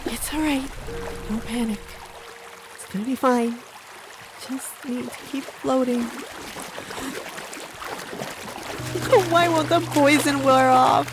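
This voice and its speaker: A woman's voice: high voice, fairly even intonation, unhurried, over music. A woman playing a resilient young woman who recounts her ordeal in a fantasy audio drama. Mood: frightened. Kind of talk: first-person storytelling in an audio drama.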